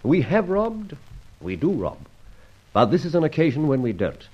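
An actor playing a chivalrous, masked gentleman thief in an old radio drama, speaking in a courtly, commanding, slangy manner. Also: a little high, sing-song, moderate pace, breathy edge. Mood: suave, assured. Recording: dull, lo-fi old radio recording.

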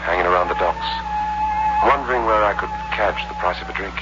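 An actor playing a weakened, embittered young ex-convict giving an urgent confession in a 1960s radio crime drama. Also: male voice, sits low, a little fast, loud music behind the voice, natural voice. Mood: bitter, urgent. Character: wounded young male ex-convict, bitter and confessional. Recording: muffled, noisy old radio recording with hum.

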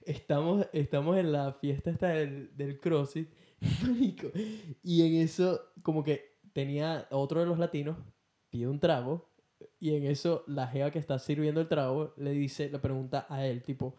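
A man's voice: fairly high pitch, fairly even intonation, moderate pace, dark tone. A man with a Venezuelan accent co-hosting a podcast, chatting casually and telling jokey, slangy anecdotes in which he acts out the dialogue. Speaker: podcast co-host.

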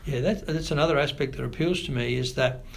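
A middle-aged Australian man, a family doctor, answering interview questions in a measured, thoughtful way with frequent pauses. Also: medium pitch, gravelly, slight background noise. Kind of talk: podcast interview.

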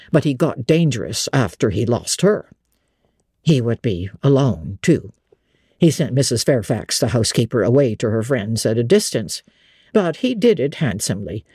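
A woman reads aloud as a gossipy old country manservant from a classic novel, his voice dropped low and husky. He drawls in rustic old-fashioned dialect, his pitch rising and falling with lively swings as he spins a grim tale in a storybook reading. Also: no echo, clean studio sound, exaggerated voice. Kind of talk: dramatic audiobook reading.

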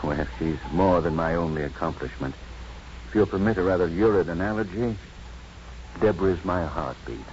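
An actor playing a gentle, bookish, apologetic father in a 1950s radio drama, courteous, wordy and hesitant. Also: low-pitched, moderate pace, natural voice. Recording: dull, noisy 1950s radio recording.

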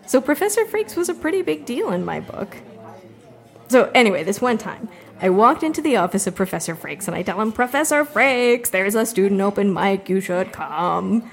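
A young woman with plenty of vocal fry delivers a comic confessional monologue for an audio drama. She is chatty and digressive, wryly mocking herself while longing to be famous. Her pitch sits in an ordinary middle range for a woman. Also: very animated, moderate pace. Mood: deadpan storytelling. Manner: conversational, digressive, drily self-mocking, salty-tongued. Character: wry, rambling, fame-hungry young woman who repairs sewing machines.

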